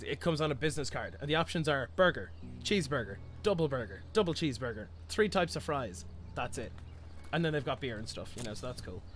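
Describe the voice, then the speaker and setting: A man's voice: mid-range voice, background noise. A young man from Ireland with a mild Irish accent, streaming a card game and chatting with viewers. He rambles, restarts often and pokes fun at himself. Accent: Irish, Dublin area, mild. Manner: rambling, many restarts, chatty and self-mocking. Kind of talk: casual stream chat while playing a card game. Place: small room indoors. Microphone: headset. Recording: game music behind voice.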